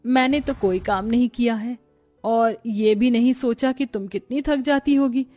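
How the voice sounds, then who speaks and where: A kindly middle-aged mother-in-law from a family storybook tale, voiced by a woman narrator. Her voice sits fairly high and sounds gentle and reassuring, with music playing underneath. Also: measured pace, close to an everyday voice. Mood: remorseful.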